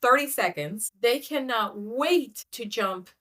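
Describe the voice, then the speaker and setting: A woman from Cuba, co-hosting a casual podcast conversation, candid and animated as she tells stories with little asides. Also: fairly high pitch, slow. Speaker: podcast co-host.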